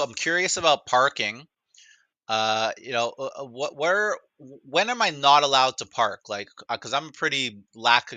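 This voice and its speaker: Bright-voiced man, casual demo presenter. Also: mid-range voice, no room sound. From Canada, greater Toronto area. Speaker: presenter of a product demo, acting as a caller. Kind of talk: casual unscripted demonstration talk.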